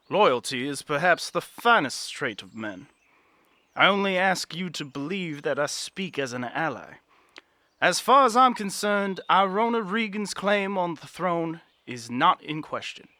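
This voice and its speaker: An actor playing an earnest young political advisor in a fantasy audio drama, speaking formally and with deference. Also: male voice, fairly high pitch, lively intonation, pushed and bright, no echo. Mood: sincere, respectful. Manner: formal, measured, deferential archaic phrasing.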